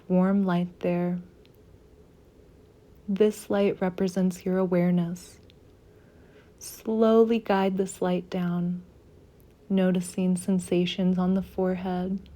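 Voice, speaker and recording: A woman leading a guided meditation in a soft, soothing, unhurried manner with gentle pauses. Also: lowish voice.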